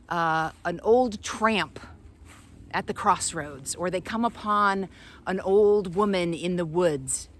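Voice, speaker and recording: A woman giving an unscripted, reflective inspirational talk as a spiritual guide, often pausing mid-phrase to find her words. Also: on the low side, a bit bright.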